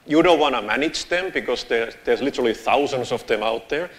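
A man's voice: mid-range voice, a little fast. A man from Finland in his thirties with a Finnish accent, giving a casual, joking conference talk on a lapel mic in a large lecture theatre. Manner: casual, joking, lively gestures while walking the stage. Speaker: conference speaker.

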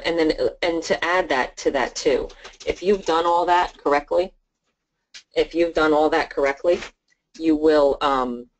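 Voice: medium pitch, low-quality recording. An insurance sales trainer talking informally on a conference call, acting out snippets of client dialogue. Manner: informal, chatty, role-plays client dialogue.

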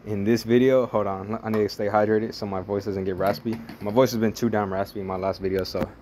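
A man's voice: on the low side, steady tone, fairly quick, darkish tone, slight background noise. A young man rambling through casual, profane play-by-play of a mobile strategy game as a gaming channel host. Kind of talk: casual gameplay commentary.

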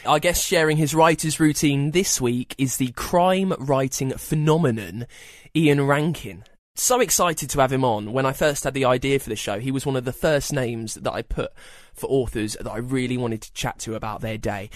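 A man's voice: mid-range voice, normal speed, no echo, clean studio sound. A man hosting a podcast, introducing and interviewing in a scripted presenter's style.

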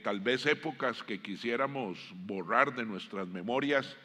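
A middle-aged Costa Rican man preaching a sermon, deliberate and emphatic, pausing often between phrases. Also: mid-range voice, pushed and bright. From Costa Rica. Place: large indoor church auditorium stage. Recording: long hall reverb from stage sound system.

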